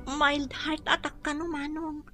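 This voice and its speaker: A woman's voice: high-pitched, flat delivery, unhurried, loud music behind the voice. A woman playing an anxious, devoted young daughter in a radio drama, pleading and emotional, with an Ilocano Filipino accent. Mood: grave, worried.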